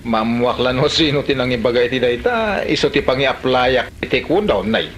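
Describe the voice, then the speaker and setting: A man's voice: mid-range voice, expressive, fairly quick, lo-fi sound, a little hiss or hum, lightly acted. A man playing a brash, hot-headed grown son who boasts and threatens, in an Ilocano Filipino comedy drama, with an Ilocano accent. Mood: defensive, threatening.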